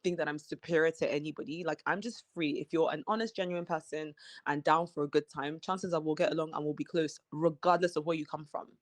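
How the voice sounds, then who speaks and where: A woman's voice: deep voice, steady tone, brisk, no room sound. A woman from Nigeria with an accent that mixes Nigerian and British, speaking as a guest in a casual interview. She talks in an articulate, earnest way and uses "you know" often. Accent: Nigerian and British mix.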